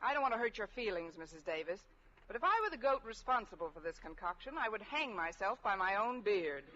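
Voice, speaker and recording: An American actress playing a wry, wisecracking schoolteacher with dry, sardonic delivery in an old-time radio comedy. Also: female voice, medium pitch, animated, brisk, pushed and bright. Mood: dryly sardonic. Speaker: radio sitcom lead actress. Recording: muffled, noisy old radio recording.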